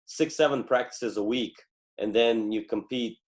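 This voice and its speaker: A man from Bulgaria, a native Bulgarian speaker in his thirties, talking as a podcast guest with frequent pauses and fillers. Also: medium pitch. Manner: hesitant, many fillers and restarts. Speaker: guest, former Olympic wrestler. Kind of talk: podcast interview.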